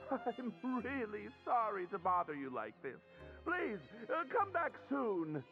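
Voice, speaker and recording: A man's voice: sits high, big pitch swings, unhurried, gravelly, lo-fi sound, faint music behind, heavily acted. A man voicing a timid, embarrassed young male prisoner in a video game, who is hesitant, stammering and very polite.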